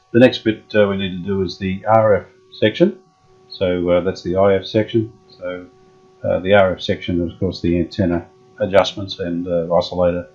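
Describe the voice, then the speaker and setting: A low-pitched, slightly husky man works through a radio repair, talking to himself as much as to the viewer. His speech is halting and casual, full of ums and restarts. The recording is dull and lo-fi. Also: darkish tone. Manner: thinking aloud, halting with many ums and uhs. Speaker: radio restorer. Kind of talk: hands-on workshop demonstration.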